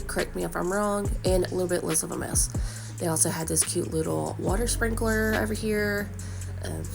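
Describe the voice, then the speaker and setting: A woman in her thirties talks through a shopping haul in an easy, chatty voice-over, her voice a little stuffy from a cold. Her tone sits low and carries a gravelly edge. Bright background music plays clearly under her voice. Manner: chatty, upbeat product chatter. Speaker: vlogger. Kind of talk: casual shop-with-me voice-over.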